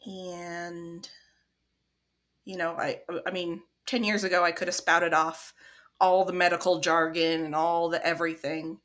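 A woman's voice: fairly low pitch, level tone, a little slow, fairly bright tone. A woman in her forties with a Midwestern American accent, a podcast guest speaking earnestly and agreeing readily. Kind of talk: conversational interview.

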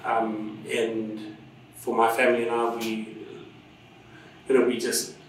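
A man's voice: mid-range voice, completely flat delivery, slow pace, far from the mic, a little hiss or hum. A man from Zimbabwe recalls his past in a loose, rambling way, full of ums and restarts. He sits back from the microphone in a very echoey room. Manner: rambling, warm storytelling with many fillers and restarts. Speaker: interview guest, drone instructor and pilot. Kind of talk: casual conversational interview.

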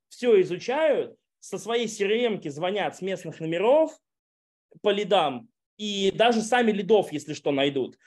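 A man, a guest on a podcast, talking business in relaxed, rambling chat with casual swearing. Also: high voice.

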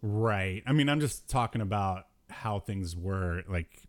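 A man hosting a podcast, chatting casually about retro cartoons in a hesitant, halting way. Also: lowish voice, lively intonation. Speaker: podcast host.

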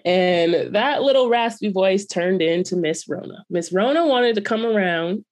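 A woman's voice: medium pitch, animated, measured pace, a little mellow. A woman with a mid-range voice hosts a casual podcast and tells stories in a chatty, slangy way. She laughs often between her words. She is getting over covid. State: recovering from covid. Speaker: podcast host. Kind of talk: casual chat.

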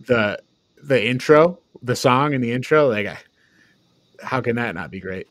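A man chats on a review podcast in a mid-pitched voice, recorded very dry. He speaks informally and loosely, as one panelist among others. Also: animated, normal speed, a bit bright, no room sound. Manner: informal, prone to chuckling and swearing. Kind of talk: casual discussion.

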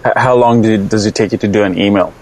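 A man with a fairly low voice hosts a podcast interview. He speaks conversationally and a little haltingly, feeling his way into each question as he puts it to his guest. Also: steady tone, darkish tone.

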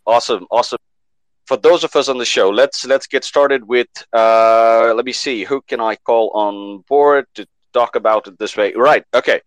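A man hosting a live online panel show, lively and fond of repeating words for emphasis. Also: medium pitch, normal speed, no echo, low-quality recording. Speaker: host.

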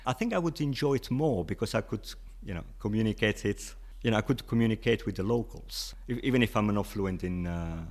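A man's voice: fairly low pitch, fairly quick, few pauses. A man with an Italian accent, a Spanish teacher, explaining patiently as an interview guest and often saying "you know".

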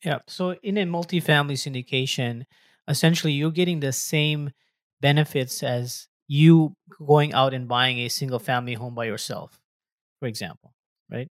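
Man co-host, mid-pitched voice, restates the guest's point. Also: normal speed.

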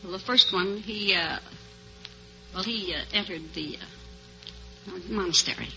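A woman's voice: medium pitch, measured pace, bright, forceful tone, faint music behind. A woman answering as a contestant on an old-time radio quiz, chatty and polite. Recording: dull vintage radio recording.

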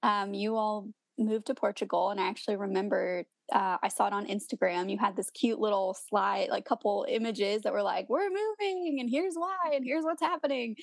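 Gravelly-voiced young woman hosting a podcast. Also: mid-range voice, dry recording. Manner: friendly, with frequent laughter and slow careful intros. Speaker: podcast host.

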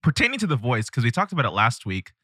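A man's voice: mid-range voice, wildly swooping pitch, fast, sharp tone, husky, dry recording, studio quality. A man hosting a podcast who chats in an easygoing way and asks curious, rambling questions. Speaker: podcast host. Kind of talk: casual podcast conversation.

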